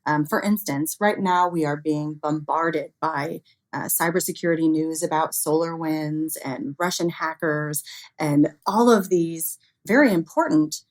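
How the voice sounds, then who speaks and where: A woman's voice: low voice, measured pace. A woman speaking as an expert interview guest, explaining things conversationally with everyday examples. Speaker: interview guest, expert on human error in cybersecurity.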